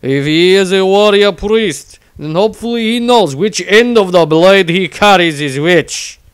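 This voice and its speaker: A man voicing a proud, suspicious warrior, reading his diary bluntly in clipped grammar with a smooth, clear tone. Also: sits high, lively intonation, measured pace, no echo, voice pushed up. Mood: blunt, scornful. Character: proud, blunt, suspicious northern male warrior from fantasy realm.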